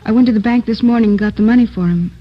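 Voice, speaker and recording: An actress playing a polite, trusting, recently widowed housewife in a 1950s radio drama, gentle and hesitant. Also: female voice, on the high side, racing, lightly acted. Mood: subdued, polite. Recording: muffled old radio recording.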